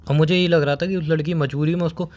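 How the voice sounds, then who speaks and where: A young man on a phone call. He has been crying, sounds hoarse, and speaks in a subdued, halting way, slow to open up. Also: on the high side, fairly even intonation, a little mellow. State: tearful, hoarse. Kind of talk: emotional phone conversation.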